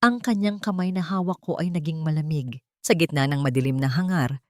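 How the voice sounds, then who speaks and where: Low-voiced woman narrating a drama. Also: brisk, a little mellow, no echo, studio quality. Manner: earnest, emotional. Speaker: storyteller.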